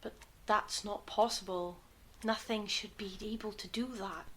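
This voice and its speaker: Actress as sharp, uneasy 1930s woman. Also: medium pitch, fairly even intonation, a little slow, a little hiss or hum. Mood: uneasy, insistent. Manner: insistent questioning. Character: uneasy, questioning 1939 television woman, impatient. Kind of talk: fan-made period audio drama acting.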